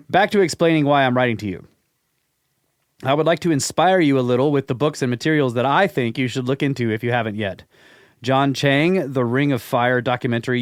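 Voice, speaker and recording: A man co-hosting a podcast, reading a listener's email aloud and then chatting about it in a relaxed, skeptical way. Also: mid-range voice, clean tone, no room sound, studio quality. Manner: laid-back, skeptical, chuckles often. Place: studio.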